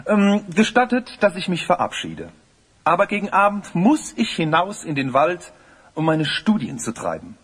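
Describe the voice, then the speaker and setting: A self-important young male scholar in a fantasy audio play. He is formally polite, with a slightly high, husky voice and animated melody. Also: moderate pace, exaggerated voice. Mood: earnest, scholarly. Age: young adult.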